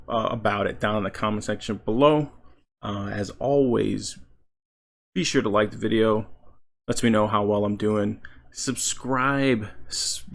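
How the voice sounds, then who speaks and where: A man giving casual video game commentary as a channel host, halting as he thinks aloud. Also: on the low side, gravelly.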